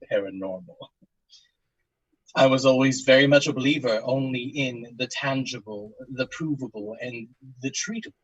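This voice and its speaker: A careful academic psychologist in an audio drama, delivering a formal statement. The voice is mid-pitched and husky, recorded very dry, with hesitant pauses as he picks scholarly wording. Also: no echo, poor audio. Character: Doctor Porter, measured academic psychologist giving a formal statement. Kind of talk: audio drama monologue, testimony.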